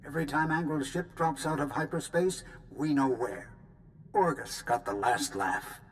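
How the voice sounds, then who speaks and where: An actor playing a clinical, businesslike military intelligence analyst in a video game. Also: male voice, on the high side, moderate pace, a little hiss or hum, lightly acted. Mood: grave. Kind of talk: video game cutscene acting.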